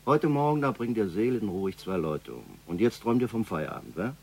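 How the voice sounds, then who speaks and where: A man's voice: lowish voice, animated, a little fast, a little mellow, breathy edge. An actor playing a domineering, menacing crime boss in a radio drama, curt and sarcastic as he gives orders. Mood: irritated, scornful. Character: domineering, menacing male boss of a criminal gang.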